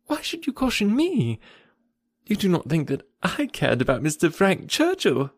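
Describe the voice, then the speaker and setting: Man voicing a gushing, high-pitched naive young woman. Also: very animated, moderate pace, no room sound, studio quality. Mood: astonished, indignant. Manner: gushing and emphatic. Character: eager, naive, excitable young woman, breathlessly indignant. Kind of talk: classic novel audiobook character dialogue.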